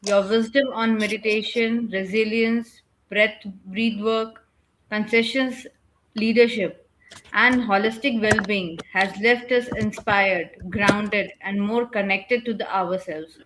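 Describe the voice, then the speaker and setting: A woman giving an earnest, measured vote of thanks at the close of an online webinar. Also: medium pitch, fairly even intonation, background noise. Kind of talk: read formal thank-you speech. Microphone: webinar video call.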